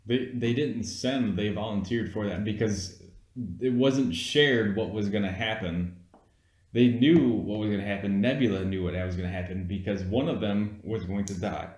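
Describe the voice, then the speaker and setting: Low-voiced man in an echoey room. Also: moderate pace, a little mellow. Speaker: amateur movie review co-host. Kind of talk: casual argumentative film chat between friends.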